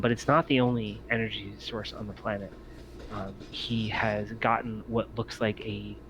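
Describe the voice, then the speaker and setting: A man improvising narration as the game master of a tabletop roleplaying game, speaking haltingly with many ums and pauses. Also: lowish voice, fairly bright tone, a little hiss or hum, low-quality recording. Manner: halting, hesitant delivery full of long ums and pauses.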